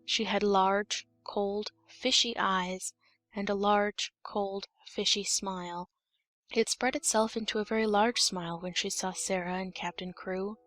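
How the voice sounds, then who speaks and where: A woman narrates a storybook in a scripted reading style. Her voice sits at an average pitch. Faint music plays behind her. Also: level tone, a little slow, a bit bright, dry recording. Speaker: audiobook narrator.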